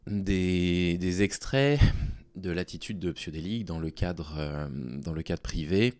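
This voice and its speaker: A man speaking informally to his online audience in a halting, hesitant way, with a worn-out, small, tired voice. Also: sits low, darkish tone, no room sound. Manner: halting, hesitant delivery with long pauses. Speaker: online video creator. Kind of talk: informal talking to audience, explaining personal situation.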